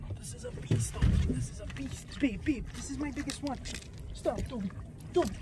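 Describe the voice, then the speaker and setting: Male fishing vlogger, cocky and teasing, outdoors on a lake boat with loud wind noise. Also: extremely high pitch, lively intonation, moderate pace. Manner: cocky, joking, hypes catches loudly. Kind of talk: casual teasing banter. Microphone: action camera on the boat. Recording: noisy outdoor boat audio. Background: wind and water noise.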